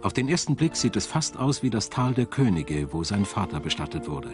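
A man narrates a documentary from a script. His voice sits fairly low. Music plays clearly behind his words. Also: big pitch swings, a little fast. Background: music behind the voice-over.

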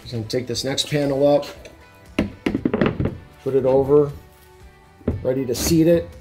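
A man in his forties talks through a panel installation in a large workshop, in plain, practical shop language, sometimes restarting a phrase. His pitch sits in an ordinary middle range, picked up on a clip-on mic. Faint music plays quietly underneath. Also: steady tone, measured pace. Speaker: installation instructor. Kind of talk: hands-on how-to demonstration. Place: large indoor workshop with wood mock-up walls.

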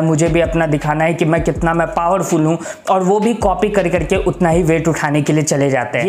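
A man's voice: sits high, roomy sound. A young man giving casual gym advice in an earnest, brotherly way, full of fillers. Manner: earnest, brotherly, repeats "mere bhai" and fillers. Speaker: fitness YouTuber.

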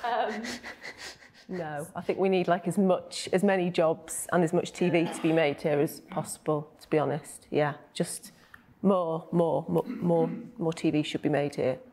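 A woman's voice: sits low, fairly even intonation, measured pace, a little mellow. A woman speaking on a panel, casual and emphatic.

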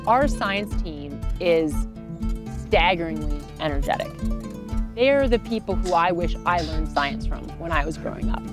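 A woman's voice: on the low side, animated, moderate pace, music in the background. A woman in her thirties, an expedition member, speaking fluently and enthusiastically in a documentary interview outdoors on a mountainside.

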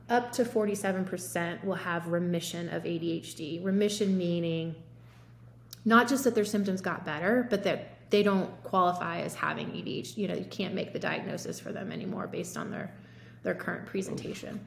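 A woman in her thirties, a physician, explaining treatment options in a relaxed way with many fillers, recorded over a video call from a home office. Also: fairly low pitch, brisk, gravelly. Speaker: physician guest. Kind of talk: conversational medical discussion. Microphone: laptop or webcam mic on video call. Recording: roomy video call audio with faint noise.

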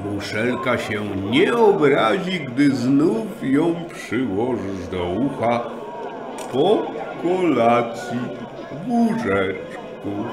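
A man playing a patient, affectionate old grandfather in an audio drama, gently coaxing, with echo and a crowd behind. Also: medium pitch, big pitch swings, very slow, heavily acted. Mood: kindly, coaxing.

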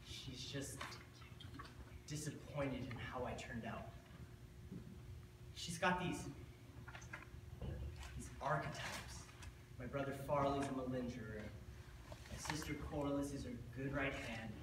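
A young man playing a bitter, drunken, brooding self-styled monster in a theatrical monologue, speaking deliberately with dramatic pauses. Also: medium pitch, natural voice. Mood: brooding, wry. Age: teen or young adult. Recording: distant, noisy, very echoey school stage.

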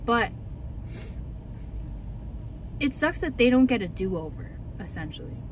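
A woman's voice: on the high side, big pitch swings, measured pace, slight background noise, poor audio. A young woman vlogger chatting and ranting casually in a car, full of likes and ums. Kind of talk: casual chat.

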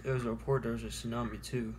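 Young man, webcam-distant bedroom read, quiet alarm, flat pitch, loud hiss under it. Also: very fast. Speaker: video maker. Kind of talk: improvised roleplay scene. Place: small bedroom indoors. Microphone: webcam at desk, from a distance.

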